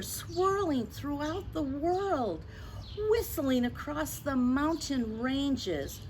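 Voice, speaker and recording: An older woman tells a story to young children, taking her time over each phrase. Her pitch moves in lively, expressive swings, and she often turns to her listeners with gentle asides and questions. Noticeable ambient noise sits behind her voice. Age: 60s or older. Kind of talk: picture book read-aloud to children with gentle asides.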